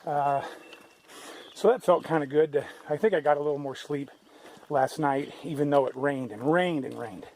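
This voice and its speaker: A man records himself on a mountain trail, his voice sitting a little high as he rambles through his morning. He talks loosely, backs up and restarts his sentences. A faint outdoor hiss runs under him. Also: moderate pace, a little mellow. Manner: rambling, conversational, many restarts. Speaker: thru-hiker vlogger. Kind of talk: casual trail vlog.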